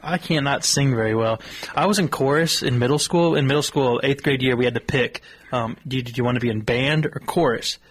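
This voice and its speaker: A man's voice: mid-range voice, fairly quick, slightly rough. A young American man from Missouri telling stories casually on talk radio, rambling and self-deprecating. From Missouri, United States. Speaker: sports columnist, radio guest.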